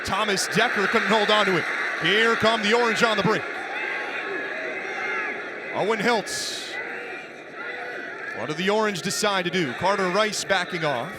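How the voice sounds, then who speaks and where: A man doing live sports play-by-play with an excitable, punchy delivery. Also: high voice. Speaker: play-by-play announcer. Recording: loud arena noise behind commentary.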